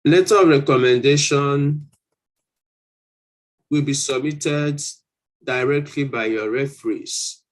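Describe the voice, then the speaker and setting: A man's voice: medium pitch, slow, pauses often. Man explaining in a live Q&A. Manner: explanatory, repeats and rephrases points. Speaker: host answering applicants' questions. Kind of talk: informal live Q&A, reading questions aloud and advising.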